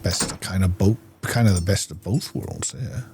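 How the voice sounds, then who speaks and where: A man's voice: sits low, normal speed, music in the background. A man doing casual gameplay commentary, muttering and thinking aloud to himself. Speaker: gaming video host.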